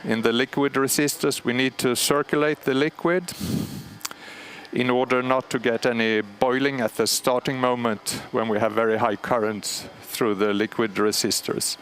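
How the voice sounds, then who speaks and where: A man's voice: medium pitch, steady tone, a bit bright. Swedish man explaining machinery over noise. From Sweden. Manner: measured, explanatory, frequent pauses and fillers. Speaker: superintendent of a historic radio transmitter start-up. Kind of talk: live technical commentary. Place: large machine hall at a radio station. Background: humming machinery and alarm bells.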